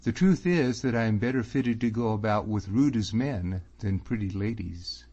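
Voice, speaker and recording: A man's voice: fairly low pitch, moderate pace, warm tone. A man reading an audiobook, voicing an earnest young man who pleads anxiously, close to his own voice. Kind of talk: audiobook character voice. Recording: slightly muffled recording.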